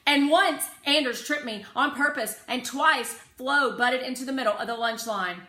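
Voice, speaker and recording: A woman reading a storybook plays an anxious, literal-minded schoolgirl who blurts out precise statements insistently. The voice is bright and pitched up, in a roomy, echoing space. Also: moderate pace. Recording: roomy echo, faint background noise.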